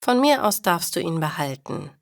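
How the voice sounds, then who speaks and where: A woman voicing a blunt but soft-hearted parent in a storybook, frank and dryly disapproving. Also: fairly low pitch, big pitch swings, normal speed, gravelly, dry recording, clean studio sound. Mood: relenting.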